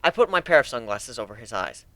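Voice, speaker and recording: A man giving an expressive, wry dramatic reading of a first-person story as an amateur narrator. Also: medium pitch, fast talker, no room sound.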